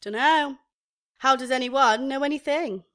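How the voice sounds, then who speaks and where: A woman's voice: sits high, a little slow, fairly bright tone. An actress in a radio comedy playing a breezy, unruffled woman shop assistant, casual and matter-of-fact. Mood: defensive. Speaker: actor in a radio play.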